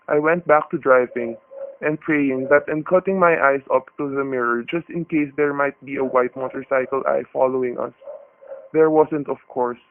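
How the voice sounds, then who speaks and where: A man's voice: medium pitch, close to an everyday voice. A man playing a tense husband who narrates his ordeal in a radio thriller, colloquial and vivid, on a thin, noisy recording. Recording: tinny, noisy narrow-band radio recording.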